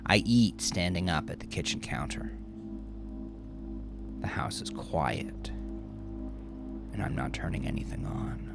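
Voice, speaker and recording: A man narrating a horror story in the first person, with a brooding, halting, intense confessional delivery. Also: low-pitched, big pitch swings, vocal fry, loud music behind the voice. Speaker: narrator and author.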